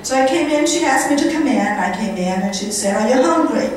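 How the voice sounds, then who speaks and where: A woman's voice: a little high, a little fast. Woman storytelling in a very echoey room. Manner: conversational, animated retelling with quoted dialogue. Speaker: guest speaker at a charity event. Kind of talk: storytelling monologue to a live audience. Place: indoor function room at a country club. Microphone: handheld microphone, picked up by a far camera. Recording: echoey room, distant camera microphone, room noise.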